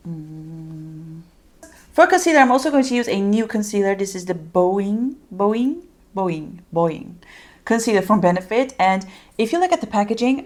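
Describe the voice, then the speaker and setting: A woman's voice: medium pitch, a little mellow. A young woman with a Dutch accent, chatting casually and rambling through a makeup tutorial with lots of fillers. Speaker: beauty vlogger.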